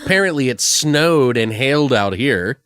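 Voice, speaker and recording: A man's voice: mid-range voice, expressive, normal speed, fairly bright tone, clear, resonant tone, dry recording. Jokey American man, dry close sound. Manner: jokey, informal, swears freely. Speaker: podcast host. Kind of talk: casual comedic podcast chat.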